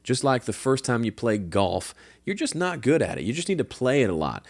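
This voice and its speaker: A man's voice: medium pitch, fairly quick, no room sound. A man with a slightly nasal voice, hosting a show and casually answering listener questions from his home studio. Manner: relaxed, conversational, with fillers and restarts. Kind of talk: casual advice talk.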